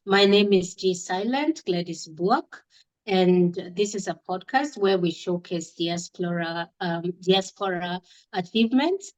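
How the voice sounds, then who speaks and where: A woman's voice: lowish voice, measured pace, poor audio. A woman hosting a podcast interview, asking questions with frequent fillers and hesitations. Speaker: podcast host.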